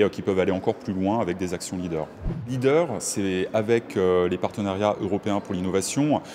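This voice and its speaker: A man in his thirties speaking in a conference interview, earnest and hesitant, pausing often with filler sounds. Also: on the low side, a little mellow. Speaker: rural network project officer. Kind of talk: conference vox-pop interview. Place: covered walkway of a conference centre.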